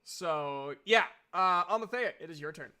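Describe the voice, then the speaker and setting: A man with a smooth, clear voice sitting slightly high for a male. He runs a tabletop game as game master, talking casually through turns and rules. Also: animated, a little slow, sharp tone.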